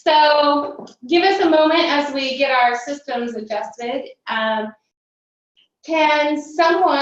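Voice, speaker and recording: A woman on admissions staff hosting a webinar, talking in a friendly way with many fillers as she guides attendees. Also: high-pitched. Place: office or meeting room, off camera. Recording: echoey room, far from mic, dull sound.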